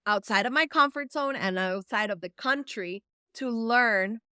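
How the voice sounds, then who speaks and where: A woman's voice: fairly high pitch, a little slow, sharp tone, clean tone, no echo, studio quality. A woman from El Salvador whose first language is Spanish, an event producer giving an earnest, encouraging talk to camera and stretching words for emphasis. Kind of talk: direct-to-camera motivational talk.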